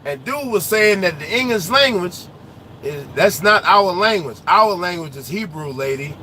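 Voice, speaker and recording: High, bright-voiced trucker ranting loudly. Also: male voice, normal speed, slight background noise. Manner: loud, animated, slangy trash talk. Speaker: truck driver vlogger. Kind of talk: casual ranting chat while driving. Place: inside a truck cab on the road.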